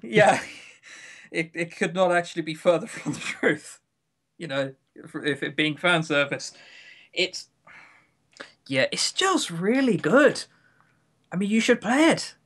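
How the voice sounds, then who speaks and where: A man with a fairly high voice co-hosts a casual review podcast. He speaks with enthusiasm and strong emphasis, and likes to joke as he makes his points. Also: big pitch swings, unhurried, pauses often, a bit bright. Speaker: podcast co-host.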